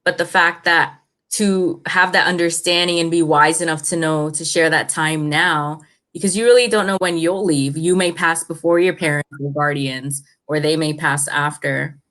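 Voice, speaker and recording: A woman hosts a relaxed interview chat. Her voice sits on the low side, and she steers the talk with encouraging, affirming words. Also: normal speed. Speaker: show host.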